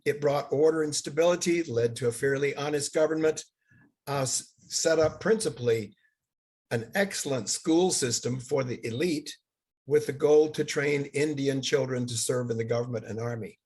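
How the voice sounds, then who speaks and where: An older man who grew up in Bangladesh, giving a halting seminar lecture over slides, with many fillers and restarts. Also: mid-range voice, a little mellow, breathy edge.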